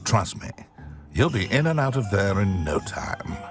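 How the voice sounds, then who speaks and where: Sly pompous wizard schemer for a game, deep voice, swoops all over the place. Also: male voice, very animated, a little slow, music in the background. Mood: sly, persuasive. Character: scheming, smug male wizard shopkeeper with a grudge. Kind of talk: video game character acting.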